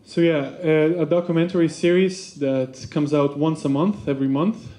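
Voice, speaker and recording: A man giving a seminar presentation for a basketball club, halting with many fillers and restarts. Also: on the high side, steady tone, warm tone, slight background noise.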